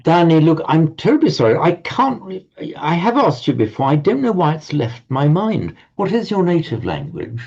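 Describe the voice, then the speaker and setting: A man's voice: a little high, big pitch swings, darkish tone, breathy edge, lo-fi sound. A man teaching an online language class, patiently correcting pronunciation and often stammering over his words. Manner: halting, stammering repeats, patient. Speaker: Sanskrit teacher.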